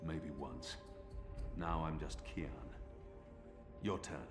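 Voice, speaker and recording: An actor voicing a calm, guarded former male apostle who speaks tersely. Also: extremely low pitch, takes it slowly. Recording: roomy game audio with music.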